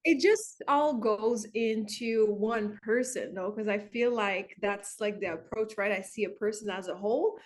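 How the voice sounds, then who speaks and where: A woman's voice: medium pitch, unhurried, few pauses. Bubbly woman with a Quebec French accent. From Montreal, Canada. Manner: bubbly, laughs often. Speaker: wellness coach, guest. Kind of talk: casual interview answers.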